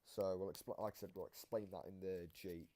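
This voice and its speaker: A man with a deep, mellow voice gives casual commentary as the host of a reaction video. He explains game lore haltingly, often letting a thought trail off before picking it up again. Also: fairly even intonation.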